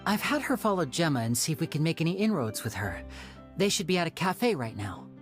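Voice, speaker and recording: A woman playing a polite young stage magician and schemer in a video game, measured, courteous and persuasive. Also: sits low, big pitch swings, soft background music. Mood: measured, planning. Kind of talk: English game voice acting.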